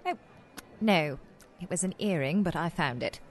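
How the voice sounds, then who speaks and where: A woman's voice: on the low side, animated, normal speed, faint music behind, lightly acted. A woman playing a sly, inquisitive British spy in radio theater, with an arch, playful manner. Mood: coy.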